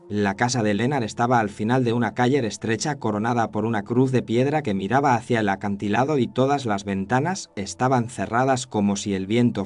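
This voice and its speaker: A man playing a haunted, regretful cameraman who narrates a scripted horror story in the first person, solemn and measured. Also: fairly low pitch, a little mellow, lightly acted. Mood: ominous, grave.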